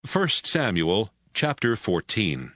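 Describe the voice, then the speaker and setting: A man narrating scripture from a script in a measured storytelling manner. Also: lowish voice, very animated, fairly bright tone, dry recording.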